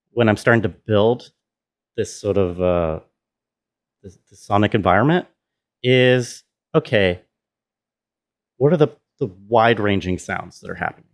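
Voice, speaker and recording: A man with a fairly low voice gives a relaxed, informal walkthrough as a sound designer. He thinks aloud in a halting way and checks in with whoever is listening. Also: clear, resonant tone. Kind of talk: casual tutorial talk, thinking aloud.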